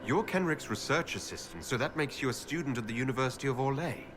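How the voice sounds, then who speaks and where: An actor playing a male elven Inquisitor in a video game, a considerate leader with a measured, questioning way of talking. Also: medium pitch, lively intonation, noisy background, lightly acted. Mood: curious, considerate.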